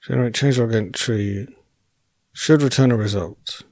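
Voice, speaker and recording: A man thinking aloud while writing code as a programming tutor, relaxed and wry, correcting himself as he goes, and losing his voice. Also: medium pitch, moderate pace, no echo.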